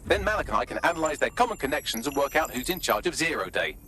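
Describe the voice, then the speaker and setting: A man with a British accent playing a brisk, dry-witted assistant who briefs operatives in a video game, articulate and matter-of-fact. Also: fairly high pitch, very animated, sharp tone, slight background noise.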